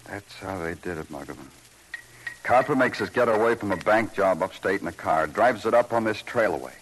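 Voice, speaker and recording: A man's voice: fairly low pitch, expressive, normal speed, fairly bright tone, poor audio. An actor playing a terse, sardonic big-city detective who narrates his case in a vintage radio crime drama. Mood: terse, intent. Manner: clipped, dry, sarcastic. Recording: old radio recording with music.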